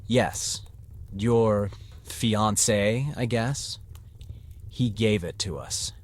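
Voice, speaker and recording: An actor playing a despairing, bitter young male farmer, brooding and heavy in an audio drama. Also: fairly low pitch. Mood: gloomy. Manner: slow, brooding, heavy delivery.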